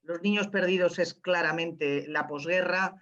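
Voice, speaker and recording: A woman answering as a playwright, haltingly searching for words as she explains. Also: lowish voice, steady tone, fairly bright tone. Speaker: playwright at a post-show Q&A. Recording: dull, lo-fi audio.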